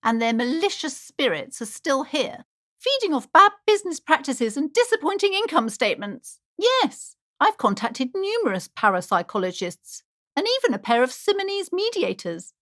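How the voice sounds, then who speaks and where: An actress playing an anxious, superstitious shopkeeper in a video game, speaking in a theatrical, formal and ominous way. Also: female voice, high voice, very animated, moderate pace, a bit bright. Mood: ominous, earnest. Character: anxious, superstitious shopkeeper woman, dramatic and doom-laden. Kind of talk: video game character dialogue.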